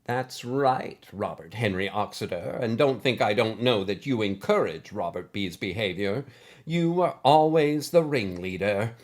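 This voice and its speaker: A man's voice: fairly low pitch, lively intonation, measured pace. A man voicing a stern, prim elderly woman schoolteacher who scolds in formal, precise words. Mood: stern scolding. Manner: formal, reproving, precise diction.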